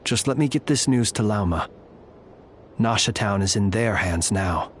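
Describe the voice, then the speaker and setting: A man playing a composed, analytical warrior ally in a video game, speaking in a measured, formal manner. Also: on the low side, lively intonation, slight background noise, lightly acted. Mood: resolute.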